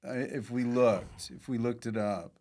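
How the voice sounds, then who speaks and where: A man hosting a podcast, chatting casually and dropping dry, deadpan jokes into the conversation. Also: mid-range voice, a little slow. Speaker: podcast host. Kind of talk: casual joking interview chat.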